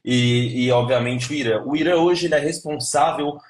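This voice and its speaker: A young man from São Paulo, speaking with a São Paulo accent as a live stream guest. His voice sits at an ordinary male pitch, and he explains in a steady, lecturing manner. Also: moderate pace. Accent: São Paulo Portuguese. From Brazil, São Paulo. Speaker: interview guest.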